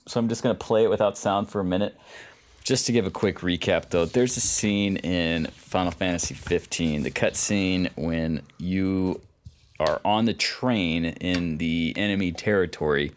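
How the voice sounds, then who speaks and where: A man's voice: deep voice, normal speed. A man co-hosting a podcast, chatting casually as he presents video game clips. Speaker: podcast co-host.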